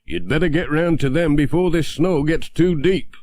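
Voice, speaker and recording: A man's voice: fairly high pitch, normal speed, darkish tone, pitched above the performer's own voice, lightly acted. A man voicing a cheery, eager village handyman helping with the post in a children's story. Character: cheery, helpful village handyman turned postal helper.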